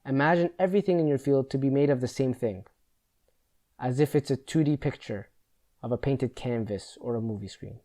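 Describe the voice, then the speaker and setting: Mellow-voiced man guiding a meditation. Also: medium pitch, brisk. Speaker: meditation guide. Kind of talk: guided meditation, slow instruction.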